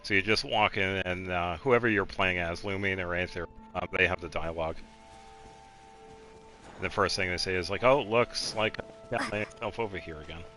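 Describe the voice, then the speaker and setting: A man with a low, bright voice talks through a video game as he plays. His commentary is casual and rambling, as if thinking aloud. Faint game music runs behind him. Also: steady tone, normal speed. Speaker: streamer playing a video game.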